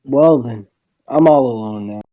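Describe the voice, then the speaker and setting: A man reading a first-person visual novel story aloud, haltingly and with stumbles over the words. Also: mid-range voice, lo-fi sound.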